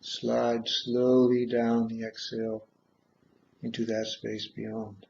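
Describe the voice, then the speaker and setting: A man guiding a meditation in measured short phrases with long pauses, on a dull, muffled recording. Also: mid-range voice, monotone, vocal fry, darkish tone, a little hiss or hum. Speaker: guided meditation leader. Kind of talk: guided meditation, slow soothing instruction.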